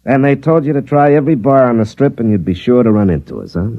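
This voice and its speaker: An actor playing a cynical, hard-drinking, middle-aged army captain in hard-boiled, world-weary old-time radio style. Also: male voice, medium pitch, fast, close to an everyday voice. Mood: mocking, sardonic. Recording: dull vintage radio recording, faint music.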